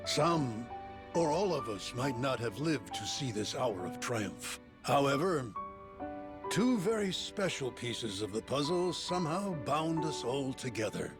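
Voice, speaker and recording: An actor playing a theatrical, grandiloquent financier in a video game cutscene, speaking in florid, pompous oratory. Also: male voice, mid-range voice. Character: theatrical grandiloquent New York financier giving a toast. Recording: music behind the voice.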